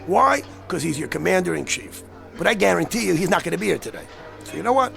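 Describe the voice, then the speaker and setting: A hard-drinking, argumentative New wise guy in a radio drama. His voice is high for a man and very gravelly, swinging up and down as he pushes and needles, over faint music. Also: big pitch swings, fast talker, fairly bright tone, exaggerated voice. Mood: pushy, sarcastic. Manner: pushy, sarcastic, streetwise. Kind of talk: dramatized radio-play dialogue.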